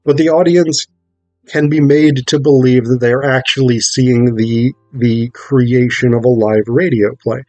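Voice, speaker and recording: A man getting over a cold gives an informal, analytical talk about theatre, thinking aloud in a halting way with rhetorical check-ins. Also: mid-range voice, steady tone, warm tone, dry recording, highs cut off, faint music behind. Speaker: theatre performer explaining a play.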